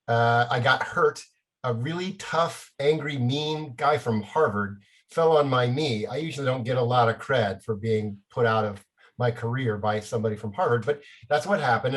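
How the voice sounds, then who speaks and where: A middle-aged man from Texas talking casually about himself and his work as a panel guest. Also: mid-range voice. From Dallas, Texas.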